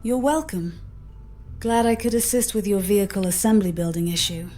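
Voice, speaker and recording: A woman's text-to-speech voice explains steps as an AI copilot. It sits at a middle pitch and speaks fluently, in polite and formal phrasing. Quiet music runs underneath. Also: normal speed. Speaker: AI copilot assistant. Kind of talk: scripted instructional explanation.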